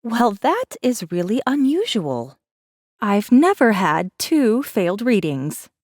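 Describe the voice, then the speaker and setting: A woman's voice: a little high, big pitch swings, takes it slowly, a little mellow, no echo, studio quality, voice pushed up, exaggerated voice. A woman voicing a polite, easily flustered female receptionist with a courteous, formal customer-service manner in a sci-fi audiobook. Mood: flustered, apologetic. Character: polite, flustered young female corporate receptionist, bright and chirpy.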